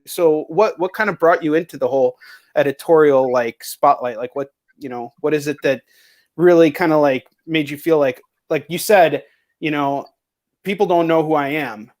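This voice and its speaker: A man's voice: fairly high pitch, normal speed. A man hosting a casual livestream interview, rambling and rephrasing his questions as he goes, with some swearing. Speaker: host interviewing a guest.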